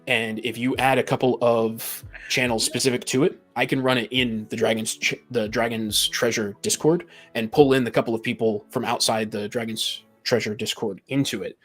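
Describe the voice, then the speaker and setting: A man's voice: medium pitch, fairly even intonation, moderate pace, hardly any pauses, soft background music. A talkative man in a casual group chat, stammering and repeating the starts of his phrases. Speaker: tabletop game master, podcast guest.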